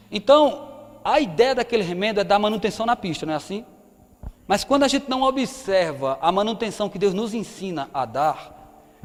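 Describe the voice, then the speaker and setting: A man in his thirties with a northeastern Brazilian accent, preaching in a conversational style and often asking the audience questions. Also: sits high. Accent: Brazilian Portuguese, northeastern. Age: 30s. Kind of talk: sermon. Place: church hall. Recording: long hall reverb.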